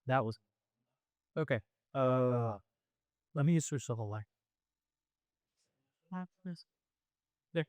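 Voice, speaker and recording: A man's voice: medium pitch, animated, slow pace, long pauses, darkish tone, clean tone, dry recording. A man hosting a podcast, chatting in an offhand, casual way while sorting out equipment. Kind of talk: casual chat. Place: podcast studio.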